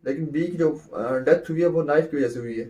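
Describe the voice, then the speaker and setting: A man's voice: on the high side, brisk, warm tone, distant mic. A man teaching a law lecture, who repeats points and answers his own questions. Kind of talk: explanatory lecture, mixing in English terms.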